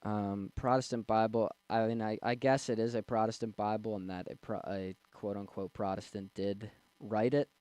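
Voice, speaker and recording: A man in his twenties with a young, slightly squeaky voice, casually rambling and answering chat on a livestream. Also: steady tone, moderate pace, hardly any pauses, a little mellow, clear, resonant tone, no echo. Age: 20s. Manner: rambling, with fillers and restarts. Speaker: streamer reading chat. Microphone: desk mic.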